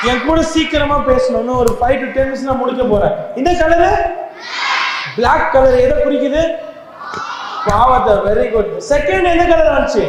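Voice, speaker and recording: A children's Bible class teacher who calls out loud, animated questions to a group of kids at a church Bible school. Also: high voice, noisy background. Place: inside a church hall, with a crowd of children. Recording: very echoey church hall with long reverb and a children's crowd.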